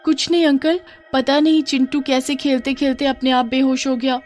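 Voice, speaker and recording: A woman's voice: high voice, flat delivery, moderate pace, a little mellow, faint music behind, close to an everyday voice. A woman voicing a young girl cousin in a children's horror cartoon. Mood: smooth, persuasive. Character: young girl cousin, sweet, later slyly possessed.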